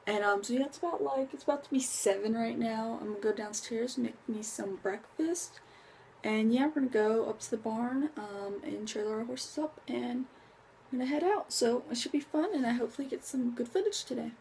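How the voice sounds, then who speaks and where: A woman's voice: fairly high pitch, a little fast, gravelly, darkish tone, slight background noise. A young woman vlogging to a phone held at arm's length in her bedroom, chatty, with many ums and restarts. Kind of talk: casual vlog talk to camera. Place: bedroom at home, indoors.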